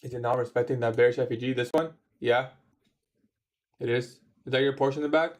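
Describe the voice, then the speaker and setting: A man's voice: mid-range voice, fairly even intonation, a little fast. A man in his twenties chatting casually on a trading livestream, answering viewer questions in a laid-back, slangy way. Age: 20s. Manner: laid-back, slangy, says bro a lot. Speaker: livestream trader.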